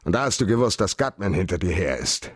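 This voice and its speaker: An actor playing a hard-boiled, cynical private detective in a radio crime drama, speaking in a terse, sardonic, interrogating manner. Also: male voice, low voice, very animated, quick pace, slightly rough, no echo, studio quality, exaggerated voice. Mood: coldly accusing. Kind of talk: 1990s German radio crime drama acting.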